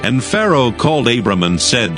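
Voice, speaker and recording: A man narrating scripture as a scripted dramatized audio reading, in a solemn, measured storytelling manner. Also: mid-range voice, big pitch swings. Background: music.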